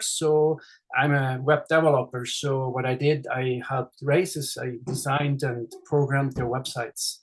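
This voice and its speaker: A middle-aged man from Switzerland with a Swiss accent, chatting casually as a podcast guest, friendly, with lots of fillers and restarts. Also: fairly high pitch. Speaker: race director guest. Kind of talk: casual interview chat.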